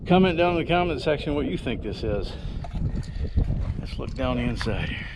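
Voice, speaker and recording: A man's voice: mid-range voice, animated, normal speed. A man giving casual outdoor travel narration to camera as a vlogger, exploring out in the open. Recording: windy outdoor recording with loud noise. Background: loud wind noise.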